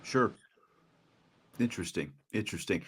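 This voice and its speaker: A man's voice: lowish voice, expressive, moderate pace, slight background noise. A man, a radio announcer, conducting a friendly conversational interview and often restarting his questions.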